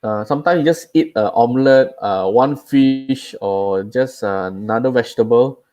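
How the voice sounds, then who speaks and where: A man co-hosting a casual chat, talking haltingly with repeated words as he gives advice. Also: medium pitch, warm tone.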